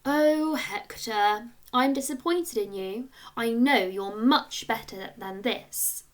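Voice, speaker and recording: A woman's voice: on the high side, slow. Woman playing an exasperated primary school teacher. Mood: disappointed, reproachful. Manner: exasperated, reproachful, emphatic. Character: weary, disappointed woman primary teacher, gently reproachful.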